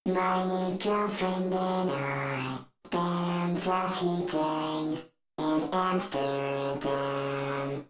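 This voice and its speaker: A woman singing a pop phrase with a robotic, pitch-corrected vocal effect. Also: extremely slow, over music. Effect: robot voice processing with pitch correction. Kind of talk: sung pop vocal, repeated looped phrase.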